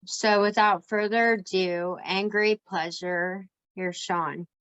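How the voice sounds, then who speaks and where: A woman's voice: medium pitch, fairly even intonation, slow, fairly bright tone, clear, resonant tone, highs cut off. A woman speaking as a podcast host, introducing and questioning a guest in a casual interview style.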